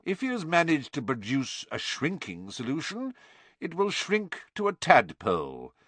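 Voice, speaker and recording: A man's voice: a little high, huge pitch swings, a little slow, a bit bright, dry recording, pitched above the performer's own voice, heavily acted. A man voicing a cold, menacing male schoolteacher in a storybook, scornful and commanding, with cutting delivery. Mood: commanding, contemptuous.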